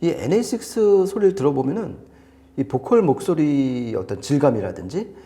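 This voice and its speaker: A man's voice: a little high, lively intonation, normal speed, dark tone. A man chatting through a product review in an enthusiastic, emphatic way. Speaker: audio gear reviewer on a shop's video show.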